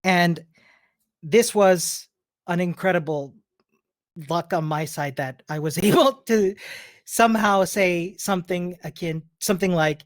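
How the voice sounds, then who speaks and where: A man's voice: high voice, measured pace, no echo, clean studio sound. A man from Chile giving a conference talk as a personal story, in a friendly, informal manner. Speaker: conference speaker, software developer. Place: remote virtual meetup presentation.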